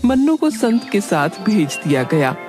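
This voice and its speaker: A woman's voice: medium pitch, big pitch swings, a little slow, music in the background. A woman reading scripted narration for a cartoon story.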